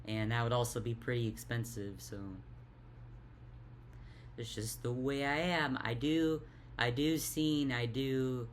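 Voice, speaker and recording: A young woman with a deep voice talks in a tired, halting way, stuttering and repeating words in a casual confessional vlog. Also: fairly even intonation, a little hiss or hum. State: tired, worn out. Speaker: vlogger.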